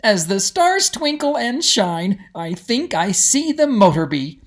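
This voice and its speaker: A man voicing an excitable, giddy young female bird mage who exclaims eagerly in a storybook reading. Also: high-pitched, takes it slowly, pitched above the performer's own voice, exaggerated voice. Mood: excited. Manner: breathless exclaiming.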